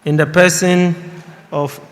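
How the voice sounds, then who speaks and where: A young man, chairing a youth parliament and making slow, halting formal announcements. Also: fairly high pitch, level tone. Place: large hall at a youth parliament. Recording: reverberant hall.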